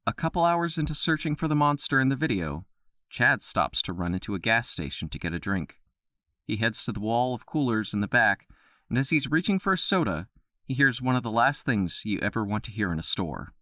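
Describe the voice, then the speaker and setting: A man narrating an audio drama in a steady storytelling voice. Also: medium pitch, a little fast, dry recording, studio quality.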